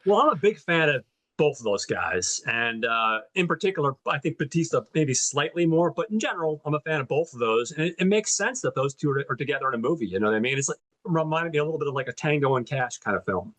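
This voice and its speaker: A man's voice: fairly high pitch, expressive, fast, fairly bright tone. A man co-hosting a movie podcast, chatting in an upbeat, enthusiastic way and often repeating words as he starts. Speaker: podcast co-host. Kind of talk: casual chat.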